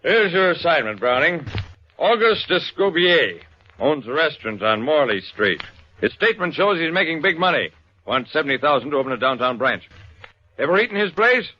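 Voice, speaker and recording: A man's voice: fairly high pitch, big pitch swings, normal speed, voice pushed up. A man voicing a cold, bossy loan company executive with a clipped, commanding manner in a radio detective story. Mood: brusque, commanding. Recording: muffled, noisy old radio recording with clicks.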